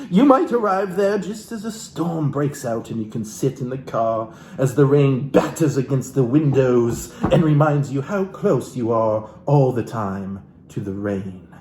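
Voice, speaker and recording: A man from Alaska giving a comedic, theatrical book reading as host, florid and mock-genteel, with dramatic pauses and asides to his viewers. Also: mid-range voice, warm tone, slight background noise. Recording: roomy, echoey room sound.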